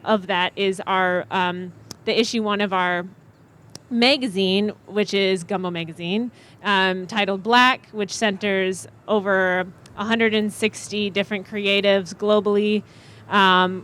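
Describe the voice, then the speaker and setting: A woman's voice: medium pitch, a bit bright. Thoughtful young woman on a panel. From Chicago area. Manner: thoughtful, measured, with fillers. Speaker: panelist, media co-founder. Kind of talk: panel introduction.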